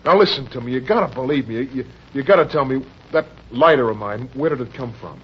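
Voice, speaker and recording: An actor playing a frightened, down-on-his-luck husband and father in old-time radio crime drama, with an American accent, a husky voice and stammering, pleading delivery. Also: mid-range voice, expressive, fast. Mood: panicked, defensive. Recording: dull, lo-fi old radio recording.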